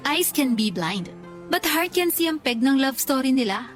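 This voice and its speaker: High-pitched, teasing best friend. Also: female voice, animated, normal speed. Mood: teasing, frank. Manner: teasing, slangy. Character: teasing, frank female best friend and confidante. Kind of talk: Tagalog radio drama dialogue. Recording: music behind the voice.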